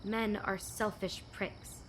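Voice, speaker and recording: A woman's voice: medium pitch, flat delivery, slow, a little hiss or hum, lightly acted, low-quality recording. A young woman acting a proud, bitter, fiercely independent young woman in a radio play, guarded and terse. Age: young adult.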